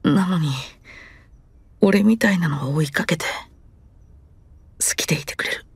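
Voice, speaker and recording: Husky, wistful young boy. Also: lowish voice, unhurried, dry recording, exaggerated voice. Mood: wistful, hurt. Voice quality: husky, breathy. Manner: hesitant, soft-spoken, wistful. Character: lonely, gentle young boy, longing to play with others. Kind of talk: Japanese anime-style audio drama acting.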